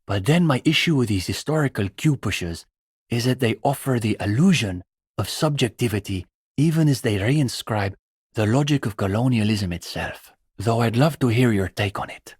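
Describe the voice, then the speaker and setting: Earnest, pretentious student radical, husky voice. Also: male voice, mid-range voice, expressive, moderate pace, a little mellow, dry recording, clean studio sound. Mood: earnest, intellectual. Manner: bookish, opinionated, academic phrasing. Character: earnest, pretentious young male communist student intellectual. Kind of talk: video game character dialogue.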